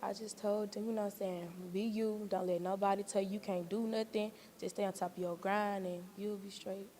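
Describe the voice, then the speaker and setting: A woman's voice: medium pitch, fairly even intonation, fairly quick, dark tone. A woman actor answering interview questions in casual, laid-back, colloquial slang. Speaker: young stage play actor being interviewed.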